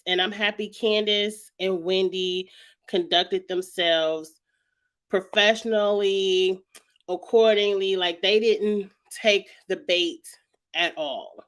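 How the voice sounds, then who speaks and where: A young woman hosting a casual reality TV recap, her voice a little raspy from a cold, chatting loosely and often clearing her throat. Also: medium pitch. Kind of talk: casual vlog chat.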